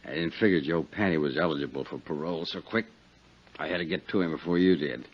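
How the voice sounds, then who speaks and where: A man's voice: extremely low pitch, quick pace, breathy edge. An American man playing a crooked, sly insurance investigator in a 1950s radio drama, talking slangy, wheedling tough-guy talk. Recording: dull, noisy 1950s radio recording.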